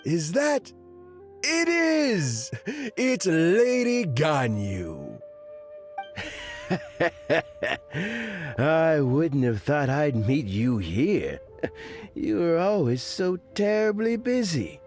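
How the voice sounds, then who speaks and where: Game elder: modest, dignified old man, unhurried, hugely varied intonation, faint music underneath. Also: fairly high pitch, wildly swooping pitch, exaggerated voice. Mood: delighted surprise. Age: older. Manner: courtly, unhurried, theatrical. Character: genial, wordy old gentleman, dignified yet modest. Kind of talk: video game character acting.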